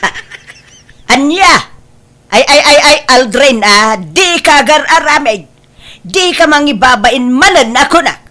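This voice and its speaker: A woman's voice: high voice, expressive, unhurried, long pauses, sharp tone, heavily acted. A woman playing a doting mother of a grown son, emphatic and exclamatory in an Ilocano comedy drama. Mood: shocked, scolding. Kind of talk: Ilocano radio-style comedy drama acting.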